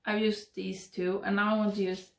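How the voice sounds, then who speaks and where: A woman in her thirties with a Swedish accent, chatting and murmuring softly to the camera while doing her makeup at home. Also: mid-range voice, flat delivery, moderate pace, gravelly. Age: 30s. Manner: soft, rambling, murmuring while applying makeup. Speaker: beauty vlogger. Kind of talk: casual get-ready-with-me chat. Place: home makeup room, indoors. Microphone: webcam framing at desk.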